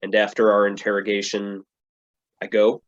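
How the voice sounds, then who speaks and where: An actor playing a boastful, captive male superhero in a staged play reading, with a pompous, halting delivery. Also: lowish voice, monotone, muffled recording, close to an everyday voice. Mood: wary.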